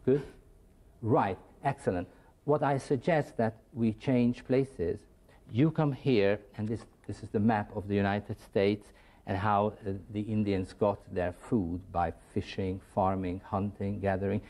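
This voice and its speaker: A man leads an English lesson in a school studio, cheerfully quizzing his students. His voice sits low with a mellow, dark warmth. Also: fairly even intonation, a little slow. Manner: upbeat, coaxing, quizzes students. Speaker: English teacher and show host.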